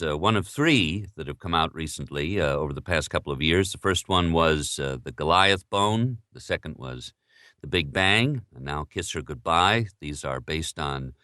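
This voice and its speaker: Low, bright-voiced male interviewer. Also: no echo, studio quality. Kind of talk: interview questions and scripted-sounding introduction.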